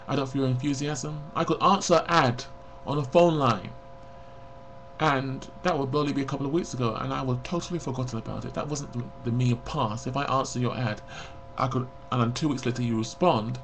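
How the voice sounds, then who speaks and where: A man's voice: mid-range voice, brisk, slight background noise, poor audio. A man of forty talking frankly and bluntly to a webcam in a personal confessional vlog, rambling and reflective. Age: 40s. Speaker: vlogger.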